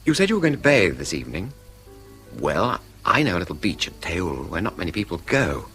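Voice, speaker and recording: An actor with an English accent playing a lovelorn, idle rich gentleman in a radio drama, earnest and halting as he implores. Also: sits low, wildly swooping pitch, faint music behind, exaggerated voice. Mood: desperate pleading. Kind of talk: British radio drama acting.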